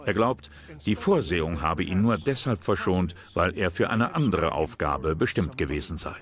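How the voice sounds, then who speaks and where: A man giving measured, serious scripted narration for a history documentary. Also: low-pitched, expressive, fairly bright tone. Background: music.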